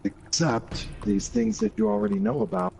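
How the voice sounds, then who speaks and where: A man's voice: sits high, very animated, normal speed, creaky. A man giving opinions in a casual, argumentative gaming chat, mimicking the people he disagrees with. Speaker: gaming show co-host. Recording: loud music behind the voice. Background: music.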